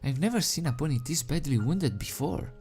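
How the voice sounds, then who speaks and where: A man voicing a caring, worried young female unicorn pony in a storybook reading, soft-spoken and anxious. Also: on the high side, animated, brisk, faint music behind, pitched above the performer's own voice.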